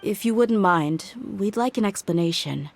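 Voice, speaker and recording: A woman's voice: medium pitch, animated, moderate pace, vocal fry. An actress voicing a young woman mercenary who speaks in a direct, firm, plainspoken way. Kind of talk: game cutscene voice acting.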